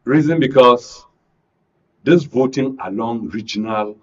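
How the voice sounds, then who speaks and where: A man with a Nigerian accent commenting on politics as a panel guest, deliberately, in short broken phrases. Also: medium pitch. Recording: dull lo-fi broadcast audio.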